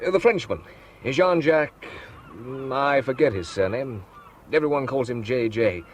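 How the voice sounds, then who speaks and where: A man's voice: mid-range voice, animated, measured pace, slight background noise, close to an everyday voice. An actor playing a polite male visitor, mild and curious, in a radio drama.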